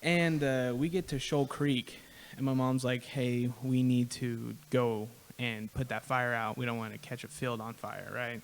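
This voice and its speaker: A man's voice: mid-range voice, unhurried, vocal fry, hardly any pauses. Young male co-host, easygoing storyteller, slight hiss on the recording. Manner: relaxed, tells story with lots of "right?". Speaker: podcast co-host. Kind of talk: casual storytelling.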